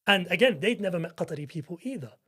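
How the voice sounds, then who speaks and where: Fast-talking high-voiced London man. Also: animated, a bit bright, husky. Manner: emphatic, repeats words, calls others bro. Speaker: podcast guest. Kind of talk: casual podcast chat.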